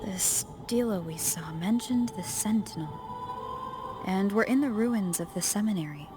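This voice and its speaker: A woman's voice: medium pitch, measured pace, natural voice. An actress playing a composed, knowing young female fighter in a video game, cool and assured. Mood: pensive. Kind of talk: English video game voice acting. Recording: loud game music behind the voice.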